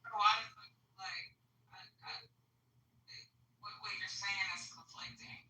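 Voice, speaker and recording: Blunt woman, bright edge, distant echoey mic, faint background hiss. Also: medium pitch, steady tone, measured pace. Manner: blunt, swears, pushes back. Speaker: discussion participant. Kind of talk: casual heated conversation. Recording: roomy echo, distant mic, faint noise.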